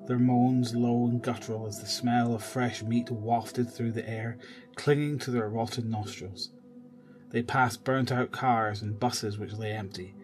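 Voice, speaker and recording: A man narrating a scripted horror audio drama. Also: medium pitch.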